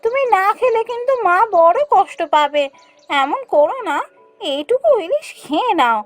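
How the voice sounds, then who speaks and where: A woman voicing a gentle, pleading young village wife in a cartoon fairy tale, sweet and coaxing. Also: very high-pitched, big pitch swings, normal speed, no echo, soft background music.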